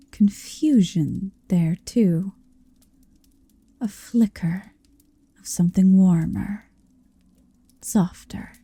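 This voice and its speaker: Woman as a slow, breathy, possessive dragon. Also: lowish voice, no room sound, exaggerated voice. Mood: commanding, seductive. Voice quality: soft, breathy. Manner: slow, deliberate, hushed, often slipping into whisper. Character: possessive centuries-old female dragon in human form, tender, commanding. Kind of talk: ASMR roleplay, intimate second-person monologue.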